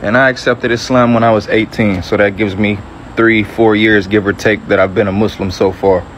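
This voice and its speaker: Young man, unhurried reflective talk, personal story, faint background noise. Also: on the low side, steady tone, low-quality recording. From Metro Atlanta, Georgia, USA. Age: early 20s. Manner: measured, reflective, frequent self-corrections. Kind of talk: personal testimony to camera.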